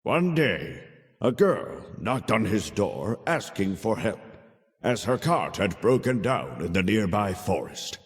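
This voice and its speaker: An actor playing a kindly older storyteller who tells a child a fairy tale in a theatrical, measured way for a video game. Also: male voice, lowish voice, very animated, long reverb tail. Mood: measured storytelling. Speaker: storyteller narrator. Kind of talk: dramatic fairy-tale narration.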